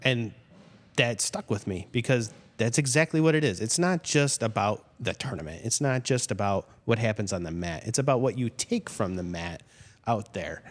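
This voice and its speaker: A man's voice: on the low side, animated, moderate pace. Reflective man in his forties, reverberant room. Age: 40s. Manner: earnest, reflective, self-deprecating. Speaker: podcast guest. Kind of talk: casual podcast chat.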